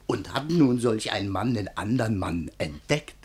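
Husky, cheeky manservant. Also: male voice, lowish voice, expressive, unhurried, a little mellow, full character voice. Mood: explaining, amused. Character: simple, cheeky manservant, homely explainer of things. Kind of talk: verse comedy radio play acting.

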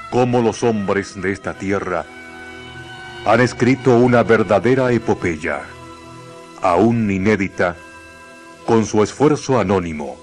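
A man narrating a radio drama in a solemn, literary, dramatic manner. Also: deep voice.

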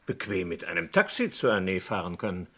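An actor playing a keen, obliging French lawyer in a vintage German radio crime drama, speaking precisely and with eager curiosity. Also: male voice, low-pitched, very animated, fairly quick, a bit bright, exaggerated voice. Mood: reasoning.

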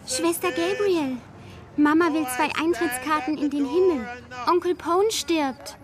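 An actress playing an innocent, earnest child in a radio drama. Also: female voice, very high voice, a little slow, crowd noise.

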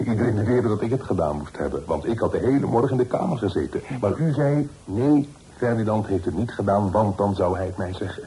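An actor plays a loyal, earnest grown son in a period radio drama. His voice is mellow and fairly low, respectful throughout, with faint noise on the recording. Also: expressive, a little fast, close to an everyday voice. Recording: faintly noisy old radio play recording.

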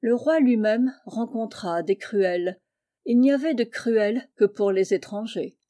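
A woman reading a literary historical story aloud as scripted narration, in a measured storytelling manner. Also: on the high side, warm tone. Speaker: audiobook reader.

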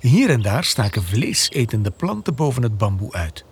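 A man narrating a children's radio play as a storyteller, playful and expressive. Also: medium pitch, moderate pace, slight background noise.

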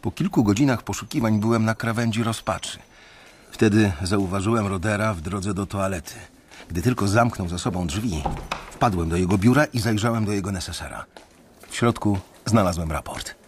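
An actor narrating a radio drama in the first person as a disillusioned young male bank analyst, telling the story in a measured, reflective way. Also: fairly low pitch, slight background noise, lightly acted.

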